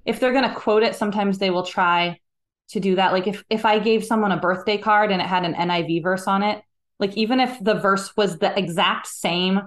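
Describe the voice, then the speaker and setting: A woman's voice: mid-range voice, steady tone, a little fast. A woman guest on a podcast, explaining her views in a thoughtful, casual way. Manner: thoughtful, explanatory, frequent "like" fillers. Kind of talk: casual conversational interview.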